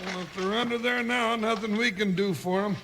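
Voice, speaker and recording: An actor playing a folksy, excitable comic cowboy sidekick with a drawling American rustic delivery in a 1950s radio western. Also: male voice, high-pitched, a little fast. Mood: worried. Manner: drawling rustic talk, whooping exclamations. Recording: noisy, crackly 1950s radio recording.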